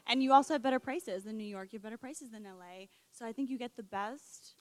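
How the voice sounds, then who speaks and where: A young woman real estate broker from Colombia talks quickly and with eager sales enthusiasm. Her voice sits a bit high and her words tumble out fast as she pitches. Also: no room sound, poor audio. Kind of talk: interview guest, sales pitch.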